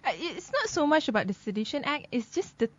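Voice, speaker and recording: Woman co-host, bright higher register, talky and giggly, dull lo-fi sound. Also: big pitch swings, quick pace. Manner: chatty, laughs often, many fillers. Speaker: news commentary co-host. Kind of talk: casual news commentary chat. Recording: dull lo-fi podcast audio.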